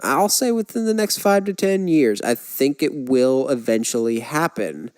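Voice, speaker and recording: A man's voice: medium pitch, animated, measured pace, darkish tone, no echo. A man co-hosting a podcast, talking casually in a conversational way. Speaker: podcast co-host. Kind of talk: casual chat about movies and games.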